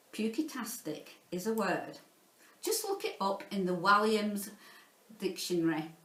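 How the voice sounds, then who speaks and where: A woman reading a children's story aloud in an expressive, playful, theatrical way, like a teacher narrating to pupils. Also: medium pitch. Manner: theatrical, playful, dramatizing sound words. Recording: roomy echo, distant from the mic.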